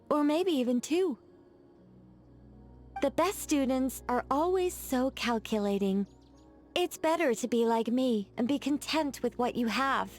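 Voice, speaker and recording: An actress voicing a poised, playful female mage in a game, talking in a wry, teasing, chatty way. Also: on the high side. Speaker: game character. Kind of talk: game voice lines.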